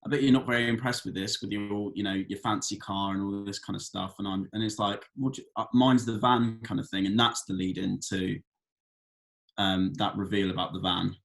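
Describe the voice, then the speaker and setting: A man with a low, gravelly voice and very little melody brainstorms script ideas casually. He is hesitant and phrases things loosely as he feels his way toward a line. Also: level tone, brisk, fairly bright tone, poor audio. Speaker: table read participant.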